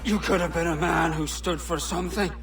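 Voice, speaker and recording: An actor playing an embittered, stern turncoat pirate hunter in a video game, preaching in a declamatory way. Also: male voice, fairly high pitch, steady tone, brisk, fairly bright tone, far from the mic, faint music behind. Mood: bitter, reproachful. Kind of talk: video game cutscene acting.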